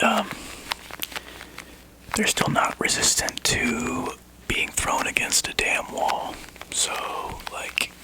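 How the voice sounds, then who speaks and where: A man speaking softly and breathily close to the microphone in an ASMR roleplay, playing a laid-back, wry video game store clerk who chats casually with a customer. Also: low-quality recording. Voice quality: breathy whisper and soft low murmur. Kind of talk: ASMR roleplay, one-sided customer chat.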